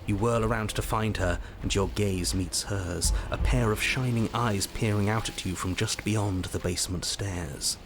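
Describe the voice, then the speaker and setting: A man as a low, theatrical game narrator. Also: steady tone, background noise, natural voice. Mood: tense. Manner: theatrical, deliberate, dramatic. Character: grave male storybook narrator and urgent inner voices. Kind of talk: dramatic second-person game narration. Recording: music behind the voice.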